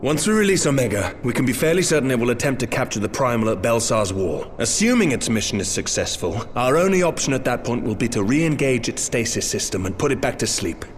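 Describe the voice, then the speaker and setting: An actor playing a level-headed, practical engineer in a video game cutscene, speaking in a measured, serious, technical way. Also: male voice, mid-range voice, big pitch swings, a little hiss or hum, lightly acted. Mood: pensive.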